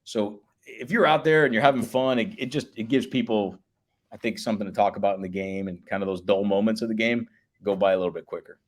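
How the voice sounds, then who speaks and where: A man in his thirties, a public address announcer with a booming voice, chatting casually in an interview. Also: fast talker. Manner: booming voice, slips into announcer calls.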